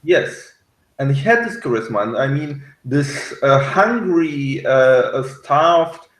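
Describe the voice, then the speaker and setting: A man in casual review chat as a co-host, speaking in a hesitant, halting way. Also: a little high, animated, a bit bright, echoey room, low-quality recording. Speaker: podcast co-host reviewing a TV series. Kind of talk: casual review chat, partly reading notes aloud.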